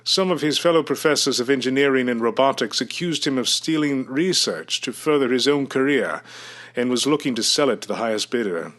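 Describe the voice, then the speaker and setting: A man playing a courteous, fussy university chancellor with a stuffy, halting, melodramatic delivery in an old-time radio style. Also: medium pitch, a bit bright, natural voice. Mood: reflective, doubtful.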